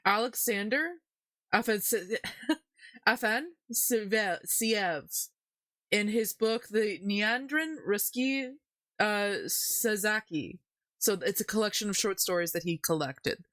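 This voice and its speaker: A woman's voice: mid-range voice, slow, fairly bright tone, no echo. Casual woman, very dry sound. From Canada. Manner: jokey, giggly, rambling. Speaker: livestream host. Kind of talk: casual commentary on folk tales.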